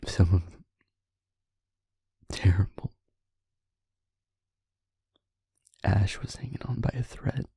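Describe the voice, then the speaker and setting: A man playing a guilt-ridden werewolf pack leader confiding in a partner in an intimate audio drama, with a halting, heavy, emotionally raw delivery. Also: sits low, gravelly, mellow, husky, no room sound, heavily acted. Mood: hushed, grave.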